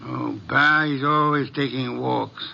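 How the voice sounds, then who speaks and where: A haughty, testy older sleuth, very gravelly and bright-edged, speaking in grand formal phrases. It sounds like a dull old radio mystery. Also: male voice, mid-range voice, steady tone, slow, full character voice. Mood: haughty, stern. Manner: pompous, formal, elaborate diction. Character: pompous, irritable older male genius detective. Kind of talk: 1940s-50s radio detective acting. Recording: dull vintage radio recording.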